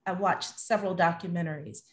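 A woman's voice: on the low side, fairly even intonation, brisk. A middle-aged woman hosting a live online panel from a room at home over a video call. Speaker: host, moderator.

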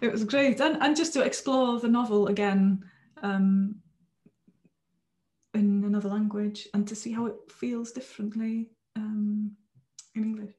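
Soft-voiced Welsh woman on a video call. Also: medium pitch, fairly even intonation, measured pace. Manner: thoughtful, hesitant, repeats words as she thinks. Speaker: author. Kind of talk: relaxed interview talk. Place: small room at home.

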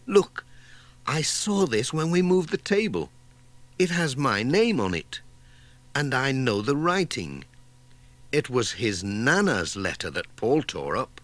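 A man's voice: mid-range voice, sing-song, takes it slowly, a bit bright, no echo, pitched above the performer's own voice. A man voicing a kindly, flustered countrywoman, a busy homely housewife full of exclamations, in a children's storybook reading. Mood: excited.

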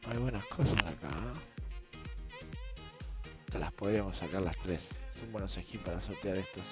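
A young adult man with an Argentine accent and a hoarse voice, commenting casually and slangily as he streams. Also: low voice, normal speed, over music. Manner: slangy, offhand. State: hoarse from shouting. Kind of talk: casual gaming video commentary. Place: small room at home, indoors.

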